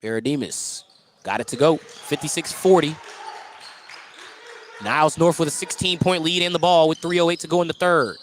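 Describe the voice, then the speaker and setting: Male sports play-by-play announcer, voice a bit on the high side, live from a gym, clipped and detailed. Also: normal speed, long pauses, a little hiss or hum. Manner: detailed, clipped running call of each play. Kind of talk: live radio sports commentary. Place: indoor high school gymnasium.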